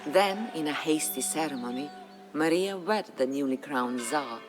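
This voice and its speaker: An actor narrating a historical game campaign as a solemn storyteller, with grave, measured, dramatic delivery. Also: mid-range voice, expressive, close to an everyday voice. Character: solemn storyteller chronicling a medieval Bulgarian uprising. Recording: music under the voice. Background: background music.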